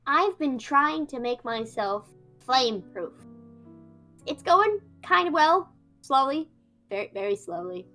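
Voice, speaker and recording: A woman with a bright, somewhat high voice plays a reformed fire mage, hesitant and stumbling over repeated words. Faint music sits behind her. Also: very animated, measured pace. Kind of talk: improvised character roleplay.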